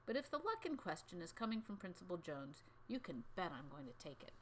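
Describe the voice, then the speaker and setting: A woman co-hosting a children's book readalong, with expressive storybook narration and light banter. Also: on the low side, a little fast, slight background noise, poor audio. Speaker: co-host reading a children's book aloud.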